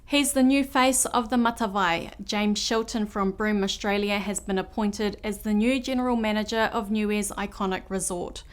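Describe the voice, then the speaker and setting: A woman reading scripted news as anchor, in a clear, measured delivery. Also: mid-range voice, fairly even intonation.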